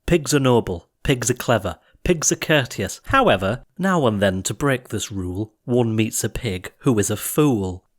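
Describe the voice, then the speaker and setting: A man's voice: mid-range voice, lively intonation, normal speed, hardly any pauses. A man reading scripted storybook verse as an audiobook narrator, with theatrical, rhythmic delivery.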